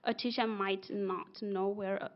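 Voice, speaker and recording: A young woman whose first language is Karen, giving a soft-spoken, halting presentation as a bilingual school success coach. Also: mid-range voice, flat delivery, highs cut off. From Burma. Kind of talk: prepared presentation.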